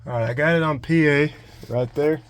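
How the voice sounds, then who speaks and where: A man's voice: fairly high pitch, moderate pace, a little hiss or hum. A man giving a casual, unscripted hands-on DIY walkthrough, thinking aloud as he goes. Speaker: DIY vlogger. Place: in and around a pickup truck.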